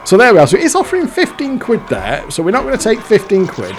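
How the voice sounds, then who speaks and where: A man's voice: sits high, huge pitch swings, quick pace, soft background music. A man giving casual, exasperated commentary while playing a fruit machine, swearing now and then. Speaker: slot machine video host.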